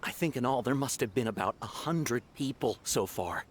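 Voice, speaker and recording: A man's voice: medium pitch, lively intonation, normal speed, slight background noise, lightly acted. A man voicing a plain-spoken townsman. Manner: plain, informative. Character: matter-of-fact male townsman. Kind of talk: game voice acting.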